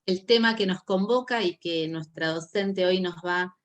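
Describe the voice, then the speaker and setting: A woman's voice: fairly low pitch, unhurried. A woman hosting an online course, introducing the guest speaker with some hesitant fillers. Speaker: course coordinator and host.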